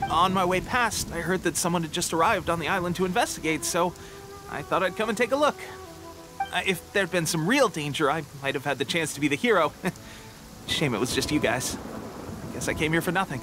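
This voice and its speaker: A man's voice: on the high side, fairly quick, music in the background, lightly acted. An actor playing a brave, eager young resistance soldier in a video game who hides that he is unwell. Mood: upbeat, breezy. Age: young adult.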